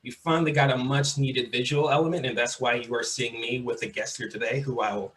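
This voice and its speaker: A young man from Mississippi hosting a podcast, talking in a relaxed, chatty way and repeating his phrases. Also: mid-range voice, fairly quick. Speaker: podcast host. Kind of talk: casual interview.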